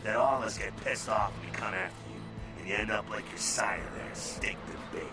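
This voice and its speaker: An actor playing a brash, irreverent older American vampire mentor in a video game, folksy and slangy with mocking asides. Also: male voice, on the low side, fairly quick, recorded from a distance, over music, lightly acted. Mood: wry, instructive. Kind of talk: video game character dialogue.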